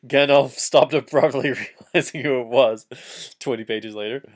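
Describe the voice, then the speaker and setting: A man's voice: medium pitch, normal speed. A man doing a comic dramatic reading of fan fiction, giggly and often breaking off to heckle the text with asides.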